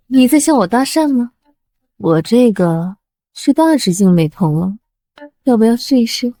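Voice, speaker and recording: Slow, mellow anime seductress. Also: female voice, on the high side, animated, no echo, clean studio sound. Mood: flirty. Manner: coy, teasing. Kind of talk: animated drama acting.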